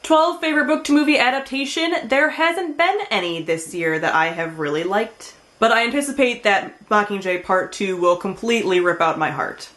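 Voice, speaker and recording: A woman's voice: medium pitch, moderate pace, hardly any pauses, fairly bright tone. A woman in her twenties talking casually and expressively to camera as a book vlogger, with emphatic enthusiasm. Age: 20s. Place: small indoor room with bookshelves.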